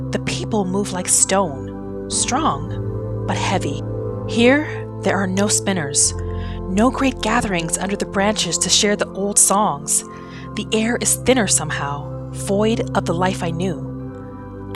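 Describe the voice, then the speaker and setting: Woman voicing a wistful wood elf storyteller over music. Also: mid-range voice. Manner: measured, lyrical, solemn. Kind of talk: scripted first-person fantasy narration. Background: new-age music.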